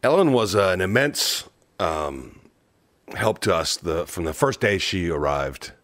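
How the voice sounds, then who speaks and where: A very deep-voiced, earnest churchman is interviewed in an audio drama documentary. He speaks hesitantly, searching for words, in a dry, close recording. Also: expressive, a little slow, a bit bright, dry recording. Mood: earnest, appreciative. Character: earnest male church leader praising a parishioner.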